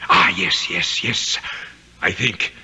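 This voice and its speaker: An actor playing a pompous, indignant centuries-old aristocratic ghost, declaiming floridly in a 1970s radio drama. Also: male voice, low voice, slow pace, bright, forceful tone, exaggerated voice. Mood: grandly scheming. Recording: raspy-edged 1970s radio broadcast.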